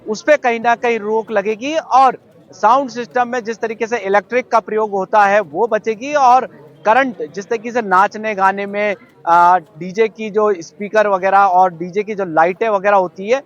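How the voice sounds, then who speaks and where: High-pitched male reporter on muffled link. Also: fairly even intonation, normal speed, fairly bright tone. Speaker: news correspondent. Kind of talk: unscripted live news report. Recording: dull, band-limited live link.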